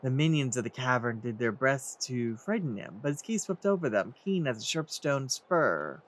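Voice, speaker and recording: A man's voice: mid-range voice, big pitch swings, moderate pace. A man hosting a let's play, reading game text aloud theatrically and commenting as he goes.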